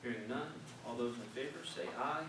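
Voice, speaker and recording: A man's voice: medium pitch, brisk. Man chairing a formal meeting, very echoey, noisy. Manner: formulaic, procedural delivery. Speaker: school board meeting chair. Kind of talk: formal procedural meeting speech. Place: meeting room. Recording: noisy echoey meeting room, distant microphone.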